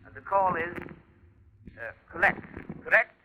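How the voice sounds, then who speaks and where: A man playing a brisk, courteous overseas telephone operator in a 1940s radio drama, formal and clipped. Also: high-pitched, animated, pushed and bright, exaggerated voice. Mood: officious, polite. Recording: very noisy old radio recording, distant phone voice.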